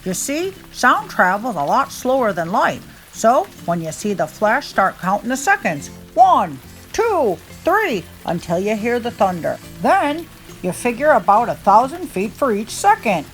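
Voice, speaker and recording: A woman voicing a calm, reassuring father bear who explains things without hurry in a children's storybook. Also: a little high, faint music behind, voice pushed up, rough recording. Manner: explanatory, unhurried.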